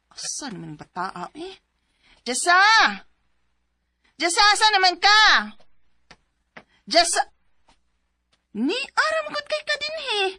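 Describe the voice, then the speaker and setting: A woman's voice: very high-pitched, dry recording, heavily acted. A woman playing a married mother of two, doting but easily exasperated, in a radio drama. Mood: alarmed, calling. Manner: expressive, motherly, scolding at times. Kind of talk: radio drama acting with letter narration.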